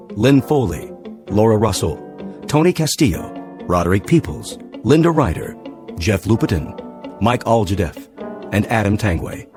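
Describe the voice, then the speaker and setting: Low-voiced male radio announcer over music. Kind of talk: scripted radio credits announcement.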